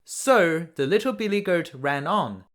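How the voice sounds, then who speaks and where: A man reading a children's fairy tale aloud as a storyteller, in an expressive, deliberate way. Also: a little high.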